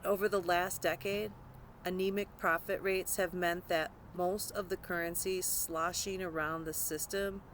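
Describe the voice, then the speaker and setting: A woman's voice: lowish voice, a bit bright, slight background noise. A woman narrating a scripted nonfiction audiobook, reading aloud.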